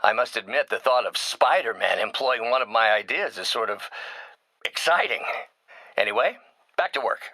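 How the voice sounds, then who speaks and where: A man's voice: medium pitch, animated, moderate pace, bright, forceful tone, no echo. A male actor playing a brilliant, proud and embittered middle-aged scientist and mentor turning villain in a video game, with articulate, theatrical delivery. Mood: warm, reflective. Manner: articulate, theatrical, erudite phrasing. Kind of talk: dramatic scripted game dialogue.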